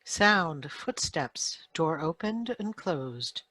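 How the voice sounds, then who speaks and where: A woman's voice: low-pitched, expressive, extremely slow, a crowd in the background. A woman reading out sound-effect cues for a radio drama in short, announcing phrases.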